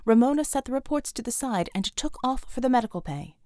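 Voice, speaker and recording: High-voiced woman narrating action fiction. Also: animated, fast, warm tone, dry recording, studio quality. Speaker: audiobook narrator. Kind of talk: scripted dramatic action narration.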